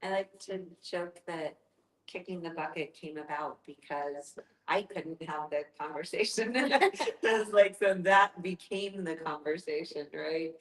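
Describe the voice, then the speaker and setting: Low-voiced joking woman in an echoey room. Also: a little slow. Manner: joking, self-aware. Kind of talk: informal group discussion.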